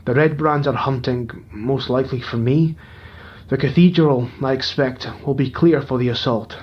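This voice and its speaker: A man voicing a determined young warrior in an audio drama, speaking low, steady and resolute. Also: moderate pace, lightly acted. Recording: dull lo-fi recording.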